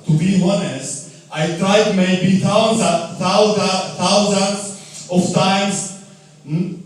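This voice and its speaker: Slow, earnest man in an echoey room. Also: high-pitched. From Lebanon. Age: 30s. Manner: slow, earnest and reflective, with restarts. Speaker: storyteller. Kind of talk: personal story read aloud. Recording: very echoey room, voice far from mic.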